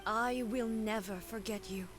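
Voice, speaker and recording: An actress playing a solemn, dignified shogun goddess in a video game, speaking in a formal, grave and measured manner. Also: female voice, medium pitch, fairly even intonation, music in the background, lightly acted. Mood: solemn, reflective. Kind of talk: scripted video game voice acting.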